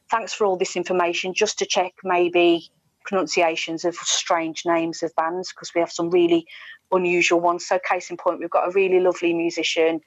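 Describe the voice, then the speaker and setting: A British woman with a fairly low voice chats candidly and with emphasis, slipping into little mimicked asides. She is a radio presenter being interviewed over a headset, and the sound is close and dry, a little dull. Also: dry recording. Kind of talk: candid conversational interview talk. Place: indoor room at home, video call. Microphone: wired earphone headset on video call.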